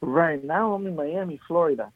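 A man talks haltingly through his life story, often restarting his sentences. His voice comes through a thin, phone-like line with a dry sound. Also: on the high side, dry recording. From Peru. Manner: halting, many fillers and restarts. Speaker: podcast guest. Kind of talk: casual testimony. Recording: tinny phone-like remote line.

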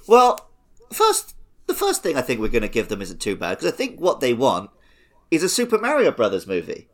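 Male podcast quiz host, casual and comedic, with lively, swinging intonation. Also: medium pitch, moderate pace.

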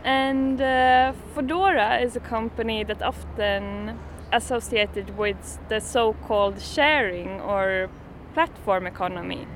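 Woman interviewer asking measured questions. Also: on the high side, a little hiss or hum.

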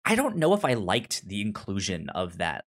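A man discussing a film casually as a co-host, halting and repeating words as he thinks aloud. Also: on the low side, wildly swooping pitch. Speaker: movie podcast co-host.

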